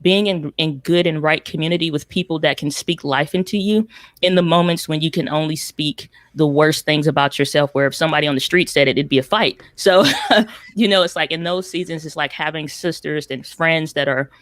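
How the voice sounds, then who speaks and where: A woman's voice: sits low, fairly quick, a bit bright, no room sound. A woman speaking as a panelist, emphatic and conversational, with many "it's like" asides. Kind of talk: panel discussion.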